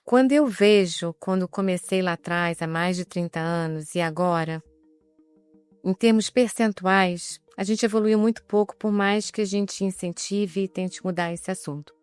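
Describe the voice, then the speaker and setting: A woman in her fifties from Rio de Janeiro with a softened carioca accent, speaking as a guest on an executive panel in a measured, explanatory way with light humor. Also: mid-range voice, smooth, dry recording, soft background music. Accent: Carioca Portuguese, softened. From Rio de Janeiro, Brazil. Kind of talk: panel discussion.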